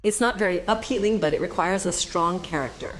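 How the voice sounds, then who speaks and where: Woman as bitter social worker in radio play, lowish voice, snippy sarcasm, some noise behind. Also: brisk, natural voice. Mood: indignant. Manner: sarcastic, indignant. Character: embittered, combative female social worker. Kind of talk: radio drama acting.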